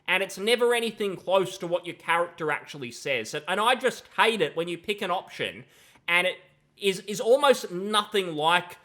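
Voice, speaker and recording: A man's voice: high voice, lively intonation, normal speed, pushed and bright. A young man giving critical commentary on a video game in a sardonic, emphatic manner. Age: young adult. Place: small room at home, indoors. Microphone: close desk microphone with headset.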